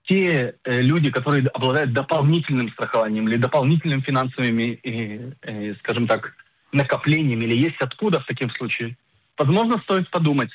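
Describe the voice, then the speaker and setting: A middle-aged man explaining things as an expert guest in a radio interview, didactic and emphatic, pausing to search for words. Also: mid-range voice, no echo. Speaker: insurance agent and financial planner, radio guest. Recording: dull lo-fi radio sound.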